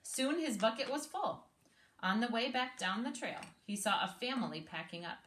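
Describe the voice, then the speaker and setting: A woman reading a story aloud expressively, pausing to explain and ask questions like a teacher. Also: fairly high pitch, expressive, a bit bright, roomy sound, a little hiss or hum. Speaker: speech therapist reading aloud to a child.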